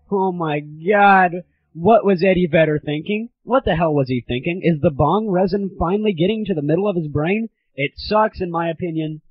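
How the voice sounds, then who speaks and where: A young man hosting a gaming audio show with casual, opinionated reviews in an irreverent, slangy way on a lo-fi recording. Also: fairly high pitch. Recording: slightly dull lo-fi home recording.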